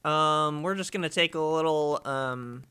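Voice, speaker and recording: A man's voice: on the high side, unhurried, bright, forceful tone, smooth. A man hosting a live stream in a casual, informal way, often thinking aloud. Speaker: host of a radio listening stream.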